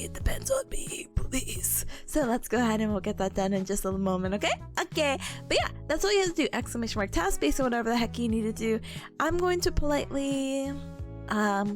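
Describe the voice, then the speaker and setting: A young woman streamer chatting casually with viewers, bubbly and giggly, her voice partly worn out. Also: a little high. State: voice partly gone. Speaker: streamer hosting a study stream. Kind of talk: casual chat reading viewer messages.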